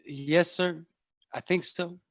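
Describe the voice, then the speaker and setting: A woman's voice: lowish voice, fairly even intonation, slow, no room sound, studio quality. A woman playing an earnest, frightened boy in a radio play, telling of his torment in a haunted, hesitant way. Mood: subdued.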